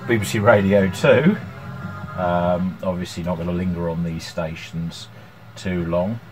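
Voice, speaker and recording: A man's voice: deep voice, steady tone, unhurried, over music. A middle-aged man giving an informal, hesitant workbench demonstration, thinking aloud as he goes. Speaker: electronics hobbyist presenting his repair. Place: small cluttered indoor workshop at home. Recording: clear background noise, room ambience.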